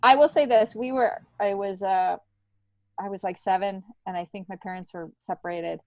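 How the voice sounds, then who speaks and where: A woman's voice: mid-range voice, normal speed, darkish tone, dry recording. A middle-aged woman, a podcast guest, telling stories in a casual, chatty, meandering way. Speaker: podcast guest, stand-up comedian. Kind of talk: casual conversational storytelling.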